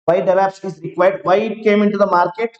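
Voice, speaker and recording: A man teaching an online class, talking slowly, repeating each phrase and checking that students follow. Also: high voice, flat delivery. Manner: slow, repeats each phrase several times; asks students to confirm they follow. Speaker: IT trainer. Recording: roomy, dull lo-fi online class audio.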